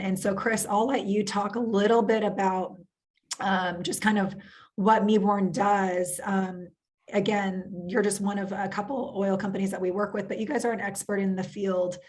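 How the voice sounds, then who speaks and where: A woman financial planner presenting on a webinar over a video call, losing her voice, measured with many fillers. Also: medium pitch, a little mellow.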